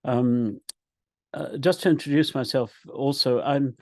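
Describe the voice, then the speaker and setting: A man's voice: medium pitch, measured pace, no room sound. An older man from Africa, a professor opening a video call talk in a chatty, self-deprecating way. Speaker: guest speaker, professor. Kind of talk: casual talk opening a lecture. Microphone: computer mic on a video call.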